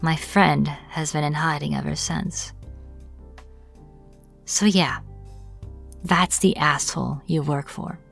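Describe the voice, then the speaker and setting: A woman's voice: low voice, fairly even intonation, slow pace, vocal fry, long pauses, fairly bright tone, faint music behind, full character voice. A woman acting as a captured witch in a fantasy audio roleplay, irritable and defiant, speaking informally with sarcastic exasperation. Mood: bitter recounting. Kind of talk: dramatic fantasy audio roleplay, addressed to the listener.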